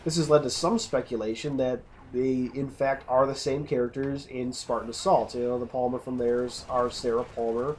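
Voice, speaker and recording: A man's voice: mid-range voice, fairly even intonation, moderate pace, hardly any pauses, darkish tone, a little hiss or hum. Rambling man commenting on gameplay. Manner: rambling, self-interrupting, casual. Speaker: let's play host.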